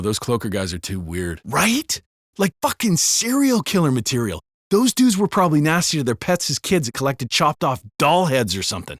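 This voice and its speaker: An actor playing a brash, sardonic, foul-mouthed American cop in a video game, with slangy delivery. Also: male voice, mid-range voice, a bit bright, no room sound, clean studio sound. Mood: griping, gossipy. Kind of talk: scripted video game character barks and banter.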